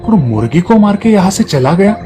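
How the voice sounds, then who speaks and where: Casting: male narrator as earnest woodcutter dad, simple righteous villager, higher male pitch, storybook with music. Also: sing-song, a little fast, warm tone. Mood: indignant.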